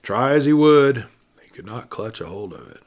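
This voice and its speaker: A man reading a classic adventure tale aloud as a storyteller, in a measured, dramatic way with phrase endings trailing off softly. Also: mid-range voice, expressive. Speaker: audiobook narrator.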